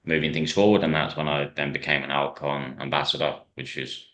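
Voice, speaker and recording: A man from Ireland, a racing driver being interviewed, telling stories in a rambling way with many pauses and restarts. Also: rumbling low, flat delivery, fairly bright tone. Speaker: hill climb driver, guest. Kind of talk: casual interview chat.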